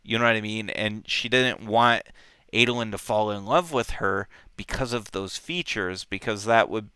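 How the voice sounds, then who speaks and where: Man, bright crisp voice, dry close sound, casual book talk, thinking aloud. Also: on the low side, normal speed, no room sound. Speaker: book club podcast host.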